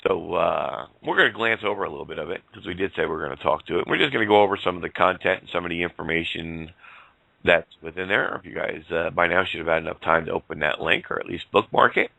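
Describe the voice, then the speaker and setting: A male host of a casual call-in talk show, rambling in a folksy way and getting hoarse. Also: low-pitched, fast talker, pushed and bright, no room sound. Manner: rambling, folksy, laughs often.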